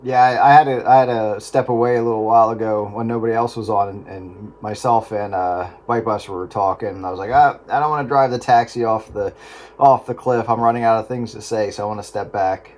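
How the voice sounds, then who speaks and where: A man's voice: lowish voice, fairly even intonation, fairly quick, a little mellow. A middle-aged American man chatting casually and folksily over a two-way radio. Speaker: amateur radio operator in a round-table chat. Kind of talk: casual chat. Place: on-air radio contact. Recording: very noisy two-way radio transmission.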